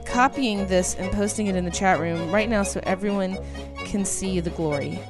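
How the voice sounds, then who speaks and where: A woman's voice: medium pitch, normal speed, music in the background. A woman co-hosting a podcast, reading listener emails aloud in a casual, gushing way that is peppered with swearing and ums. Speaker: podcast co-host.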